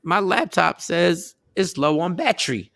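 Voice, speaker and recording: A man's voice: sits high, animated, a little slow, gravelly, fairly bright tone, no echo, studio quality. A man hosting an internet radio show, chatting casually and rambling, now and then breaking into sound effects.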